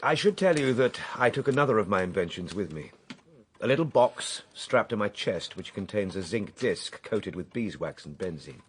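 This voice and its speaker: A man's voice: fairly low pitch, expressive, normal speed, a little hiss or hum, natural voice. An actor playing an earnest Victorian inventor recounting his travels in precise, formal radio drama style. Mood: earnest, absorbed.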